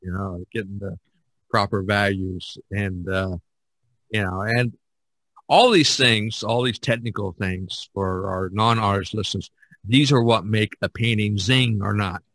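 A man's voice: fairly low pitch, unhurried, fairly bright tone, no echo. An older man, a podcast host and painter, talking casually in a rambling, explanatory way.

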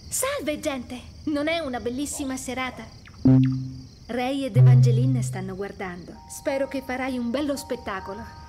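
An actress playing a sweet, hopeful, gracious young woman in an animated video game. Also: sits high, normal speed, over loud music, close to an everyday voice. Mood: cheerful wonder.

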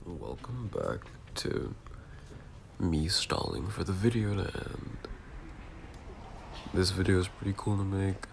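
A man's voice: deep voice, sing-song, takes it slowly, gravelly, long pauses, a little hiss or hum. A man with a nasal, congested voice from being sick, rambling casually and offhandedly as a channel creator. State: sick with a cold.

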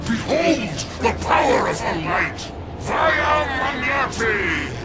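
A zealous shouting cult preacher. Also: male voice, very high-pitched, very animated, slow, loud music behind the voice, full character voice. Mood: fanatical. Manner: shouting proclamations. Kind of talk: video game cutscene acting.

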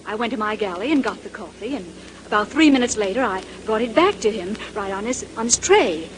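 An actress playing an anguished, vengeful young stewardess in a 1930s radio drama, speaking in a halting, emotional way. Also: female voice, mid-range voice, animated, lightly acted, rough recording. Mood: nervous, shaken. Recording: hissy old 1930s radio recording.

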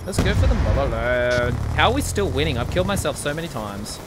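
A man's voice: mid-range voice, very animated, brisk, heavy background noise. A young man hosting a gaming video, talking in a hyper, giddy, joking way over a headset. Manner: hyper, giddy, jokey, shrieks "whee". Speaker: gaming channel host. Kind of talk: casual gameplay commentary. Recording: game gunfire behind voice.

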